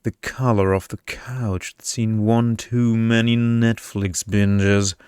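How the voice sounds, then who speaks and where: Man voicing a deep, droning energy vampire bore. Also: clean tone, dry recording, clean studio sound. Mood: bored musing. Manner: monotone, drawn-out, self-serious. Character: tediously boring male energy vampire, droning seductively.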